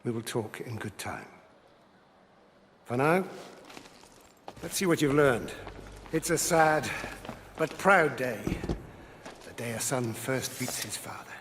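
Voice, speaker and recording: An actor playing a proud middle-aged Roman patrician father in a video game, speaking as a grave, measured mentor over music. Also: mid-range voice, animated, fairly bright tone, a little hiss or hum. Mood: grave, fatherly. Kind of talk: dramatic video game acting.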